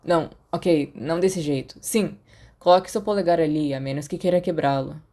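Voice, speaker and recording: Lady doing a reserved bookish teen boy in a storybook, dry and wry, soft dark tone. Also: sits low, normal speed. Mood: patient instructing.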